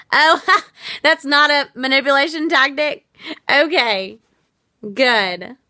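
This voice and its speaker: Woman chatting to her stream viewers, voice almost shot, bright tone, kinda earnest and reflective. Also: medium pitch, clear, resonant tone, dry recording, clean studio sound. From United States. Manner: earnest, reflective, reads and answers viewer comments. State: losing her voice. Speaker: livestream host. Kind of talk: casual live stream talk to viewers.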